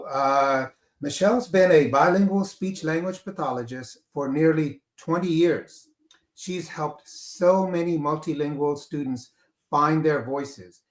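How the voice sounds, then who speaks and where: A man hosting a live interview, talking haltingly with many fillers and a repeated "on there". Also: on the high side, reverberant. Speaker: host, company director of school services.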